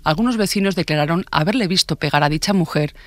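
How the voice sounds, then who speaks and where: Male true-crime narrator, Spain accent, higher-pitched voice, fast delivery, scripted storytelling. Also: very noisy. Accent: Spanish, from Spain. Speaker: podcast narrator.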